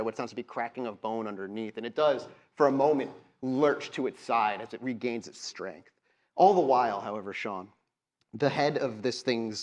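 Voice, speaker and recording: A man acting as game master at a live show, narrating horror scenes in vivid, suspenseful detail between casual rules talk. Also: mid-range voice. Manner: vivid, suspenseful descriptive storytelling mixed with casual asides. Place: live convention show before an audience.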